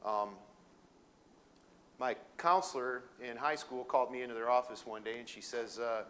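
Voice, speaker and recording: A man's voice: mid-range voice, normal speed, a bit bright, slight background noise. A middle-aged man from Detroit with a Minnesota accent, giving an informal talk to educators with lots of fillers and restarts. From Detroit, Michigan. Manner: folksy, rambling, many fillers and restarts. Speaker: welding sales manager presenting to educators. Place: room with an audience.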